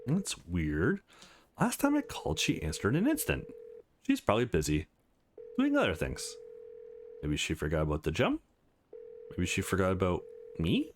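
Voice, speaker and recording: A man's voice: deep voice, huge pitch swings, moderate pace, pauses often, a little hiss or hum, voice pushed down. A man voicing an eager, slightly unsure young male protagonist of a visual novel game. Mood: doubtful.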